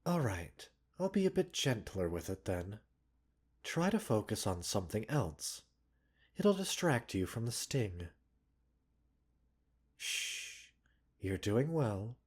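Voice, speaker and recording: A man playing a gentle, caring doctor in a roleplay ASMR, speaking softly and breathily with measured, polite, gently chiding formality. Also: fairly low pitch, very animated, exaggerated voice. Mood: soothing. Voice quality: soft, breathy. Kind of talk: roleplay ASMR, scripted character acting.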